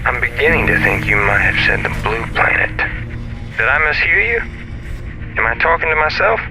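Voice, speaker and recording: A mocking male space pirate in an audio drama. His mid-pitched voice coaxes and threatens through a radio link, with music clearly playing behind him. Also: very animated, normal speed, low-quality recording. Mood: taunting. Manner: taunting, falsely coaxing.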